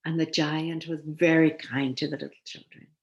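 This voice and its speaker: A woman storyteller reading a children's tale expressively, with deliberate dramatic pauses. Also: low-pitched, steady tone, lo-fi sound.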